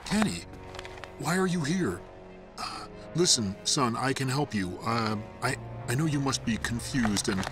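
An actor playing an anxious, placating doctor in an audio drama, hesitant and stammering. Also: male voice, mid-range voice, close to an everyday voice. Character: anxious, pleading male doctor, placating and evasive.

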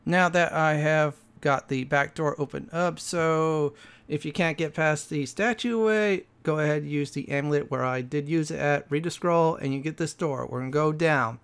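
A man's voice: on the high side, moderate pace, a bit bright, smooth. A man hosting a gaming channel, giving casual walkthrough commentary and explaining things in short, plain phrases.

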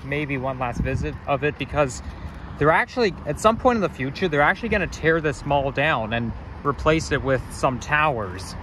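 A man's voice: fairly high pitch, animated, moderate pace, a bit bright. A man filming a casual walking vlog, chatting in a rambling, self-correcting way as he walks outdoors with a handheld camera. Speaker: vlogger. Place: outdoors on city sidewalks near traffic. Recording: outdoor street noise behind.

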